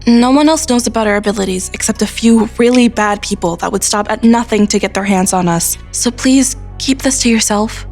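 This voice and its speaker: A woman acting as a teenage girl in an audio drama, with a fairly high voice. She is earnest, insistent and headstrong, heard over faint music. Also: brisk, creaky, hardly any pauses, a little mellow.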